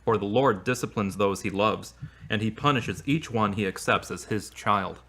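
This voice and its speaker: A man playing a devout, easily wounded boyfriend in an audio drama, earnest and expressive. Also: on the low side, normal speed. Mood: prayerful, earnest. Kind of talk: acted audio drama dialogue.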